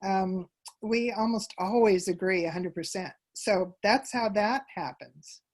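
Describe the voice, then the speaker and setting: A woman's voice: medium pitch, a little slow. A woman giving conversational answers as an interview guest about her work in a theater troupe.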